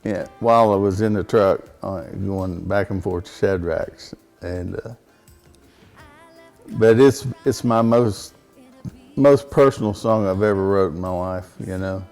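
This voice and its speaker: A man with a baritone voice, speaking as a songwriter guest in a casual interview, plainspoken and rambling with frequent fillers and restarts. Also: measured pace, a little mellow, faint music behind.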